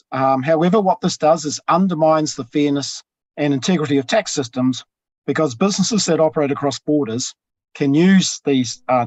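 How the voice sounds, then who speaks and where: A man with a husky voice, giving a measured academic talk over a video call, often pausing with ums. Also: a little high, a little mellow, no echo. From New Zealand. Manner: measured, with frequent ums and pauses. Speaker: tax professor presenting. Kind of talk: academic webinar presentation. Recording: dull lo-fi video call audio.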